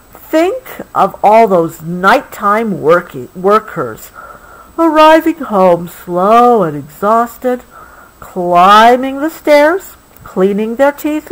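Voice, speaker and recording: A woman reads a picture book aloud to a child, gently adding explanations as she goes. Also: a little high, low-quality recording. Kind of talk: read-aloud storybook with explanatory asides.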